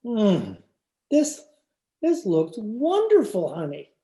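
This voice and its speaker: A man's voice: high voice, wildly swooping pitch, slow, dark tone, full character voice. A man playing an exasperated, skeptical husband with a dry, sardonic manner in an old-time radio comedy. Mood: puzzled. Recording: roomy, echoing home recording with noise.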